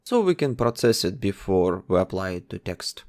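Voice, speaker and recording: Man with a lively, bouncing pitch. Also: moderate pace. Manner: instructive, gives plain commands one step at a time. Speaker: game development tutor. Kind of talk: step-by-step coding tutorial narration.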